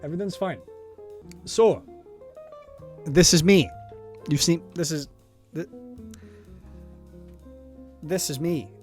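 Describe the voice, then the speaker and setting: A man's voice: a little high, slow pace, long pauses, darkish tone, soft background music. Laughing, swearing Welsh man. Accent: South Welsh. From South Wales. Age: 30s. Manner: nervous, self-deprecating, laughs a lot, swears freely. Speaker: vlogger reacting to his own footage. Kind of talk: casual commentary.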